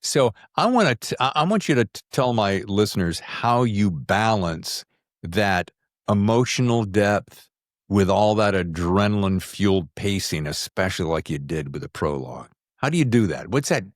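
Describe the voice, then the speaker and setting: A man's voice: lowish voice, lively intonation, normal speed, no echo, studio quality. A man hosting a conversational podcast interview, talking in a chatty, effusive, informal way. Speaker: podcast host.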